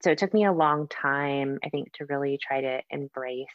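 A woman's voice: extremely low pitch, moderate pace. An American woman, a podcast guest, sharing personal stories in a candid, reflective, conversational way. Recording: echoey room, dull lo-fi sound.

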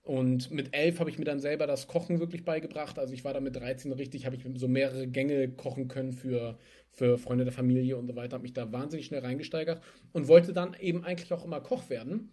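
A young man from Germany, a spirits entrepreneur, chatting casually in an interview and telling stories in a lively way with laughs. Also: medium pitch, quick pace.

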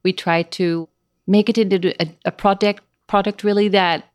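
A woman's voice: medium pitch, steady tone, moderate pace, clear, resonant tone, no room sound, studio quality. Dutch-accented middle-aged woman, hesitant. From Netherlands. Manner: hesitant, searching for words with many fillers. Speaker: guest. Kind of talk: casual interview chat.

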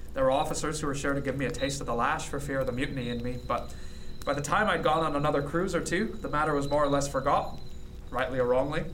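Guy acting as a thoughtful old-time pirate captain, radio play, halting earnest delivery, medium pitch, bit of noise. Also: fairly bright tone, close to an everyday voice. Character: reflective, modest sea captain in 1717, former cabin boy. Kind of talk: period radio drama acting, storytelling.

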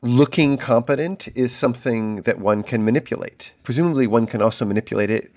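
A man hosts an interview and works through long ideas out loud before putting them to his guest. His manner is probing and thoughtful, with mid-range pitch. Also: brisk, slight background noise. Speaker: interviewer host. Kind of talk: interview questions.

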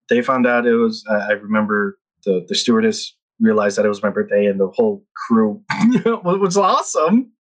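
Guy in his 30s from the philippines, rambling through a story, medium pitch. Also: fairly bright tone, poor audio. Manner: rambling storyteller with frequent restarts and laughs. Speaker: podcast guest. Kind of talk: casual chat.

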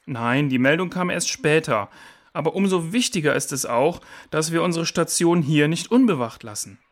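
A cautious, dutiful man at a jungle outpost, played by an actor in a children's audio drama. His voice sits fairly high for a man. He argues firmly and reasonably. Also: expressive, moderate pace, natural voice. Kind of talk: children's audio play acting.

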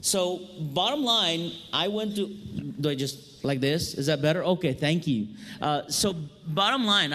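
A man's voice: on the high side, pushed and bright. A man from Egypt, a native Arabic speaker with an Egyptian accent, giving an earnest, storytelling seminar talk into a microphone in a hall. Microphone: handheld or stand microphone.

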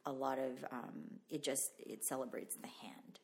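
Woman artist chatting in an interview, low voice with lots of fry, kinda reflective. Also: fairly even intonation, unhurried, a little mellow, in a whisper. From Canada. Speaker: interview guest, visual artist. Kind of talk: casual reflective interview about own work.

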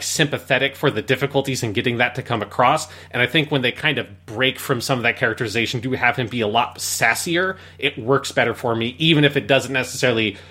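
Bright-voiced man in casual review. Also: medium pitch. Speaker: podcast host reviewing media.

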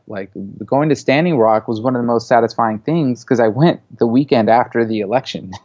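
Low-voiced man talking casually, full of fillers. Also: darkish tone, no room sound, lo-fi sound. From born in Saudi Arabia, raised in the US. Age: 30s. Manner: loose, filler-heavy talk with many "you know"s and "like"s. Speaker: interview guest, media creative. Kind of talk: casual conversational interview.

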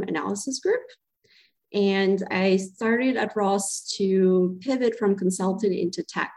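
A woman answers interview questions as a podcast guest. She speaks in a measured way with occasional hesitations. Her voice sits at an average pitch for a woman. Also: darkish tone. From Ukraine. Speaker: guest, club co-president.

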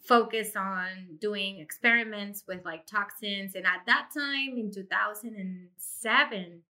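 A woman's voice: mid-range voice, a little slow, sharp tone. A middle-aged woman, talking expressively and effusively as an interview guest about her own experience. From Puerto Rico. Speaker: interview guest, insurance agency owner. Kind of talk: conversational interview, personal career story.

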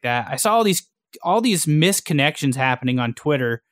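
A man's voice: fairly high pitch, animated, normal speed, a bit bright, no room sound, clean studio sound. A man being interviewed in a casual chat, explaining things in an informal, eager way. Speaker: podcaster and online community founder being interviewed.

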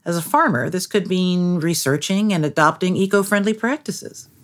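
A woman's voice: lowish voice, moderate pace. A woman narrating a scripted documentary piece as its interviewer.

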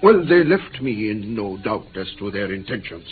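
Earnest, shaken interpreter, tinny radio sound. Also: male voice, fairly low pitch, very animated, normal speed. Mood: weary, grave. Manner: earnest, hesitant, storytelling. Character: Mr Milas, male interpreter, shaken, earnest kidnap survivor. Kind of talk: vintage radio drama acting. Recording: tinny, noisy vintage radio recording.

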